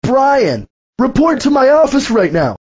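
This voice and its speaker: A man playing a short-tempered coffee shop manager who barks orders and yells at his staff. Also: very high voice, wildly swooping pitch, a little slow, slightly rough, highs cut off, exaggerated voice. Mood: stern. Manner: yelling, bossy.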